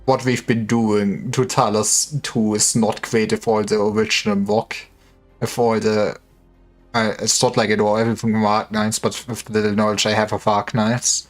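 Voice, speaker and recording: A livestreamer giving casual, wry commentary on a game, with joking asides. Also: mid-range voice. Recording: faint music behind. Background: game music.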